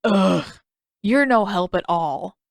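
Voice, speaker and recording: A woman's voice: mid-range voice, animated, slow, gravelly, dry recording. Woman as teenage boy, vain, blustering, casual, sarcastic, story-reading performance, very dry sound. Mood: exasperated.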